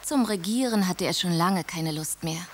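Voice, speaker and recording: An actress playing a bossy, dreamy young princess who narrates a radio drama with lively storytelling and scolding. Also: female voice, fairly low pitch, moderate pace, slight background noise, lightly acted.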